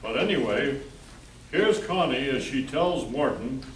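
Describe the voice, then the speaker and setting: A man's voice: medium pitch, animated, unhurried. A man hosting and narrating a scripted radio play. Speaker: narrator and host. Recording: echoey room, distant mic, hiss.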